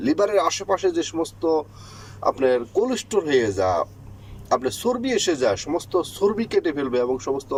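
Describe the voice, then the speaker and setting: A man's voice: sits high, husky, rough recording. A man presenting health tips, explaining step by step in an informal, emphatic and repetitive way. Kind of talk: informal explainer talk to viewers.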